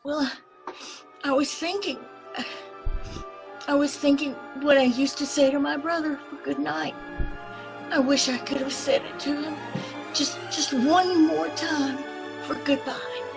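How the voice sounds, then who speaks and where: A woman playing a gentle, grieving young sister in a radio play, hesitant and tender. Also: high voice, steady tone, a little slow, pauses often, poor audio. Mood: wistful, tender. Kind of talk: old-time radio play acting. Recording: home video-call recording with music.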